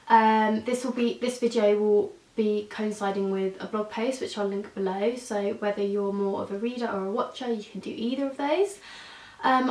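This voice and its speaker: A young woman with a blocked nose and a sore throat, giving chatty, rambling product reviews in a vlog with frequent fillers and restarts. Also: on the high side. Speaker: beauty vlogger. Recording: echoey room, voice distant from mic.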